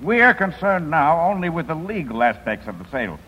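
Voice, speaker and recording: An actor playing a stern, authoritative judge with a husky voice and deliberate, formal manner in a 1950s radio drama. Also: male voice, a little high, big pitch swings, pushed and bright, heavily acted. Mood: stern, official. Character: stern, formal male courtroom judge, measured and official. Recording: dull vintage radio broadcast.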